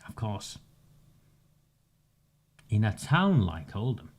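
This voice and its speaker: A man, delivering a measured, sarcastic campaigning monologue with emphatic pauses. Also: on the low side, very animated, darkish tone, breathy edge. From northern England. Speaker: community campaigner. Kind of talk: direct-to-camera monologue.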